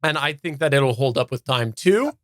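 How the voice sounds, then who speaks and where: A man's voice: mid-range voice, lively intonation, moderate pace, a bit bright, no echo, studio quality. A man from Canada giving a casual, unscripted product review as a podcast host, explaining in detail and stressing key words.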